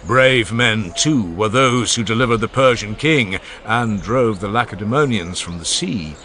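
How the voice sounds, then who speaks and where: A man reading an ancient oration aloud as an audiobook narrator, in a measured, declamatory way that swells and falls for emphasis. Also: on the low side, expressive, sharp tone, a little hiss or hum. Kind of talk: dramatized reading of a classical oration.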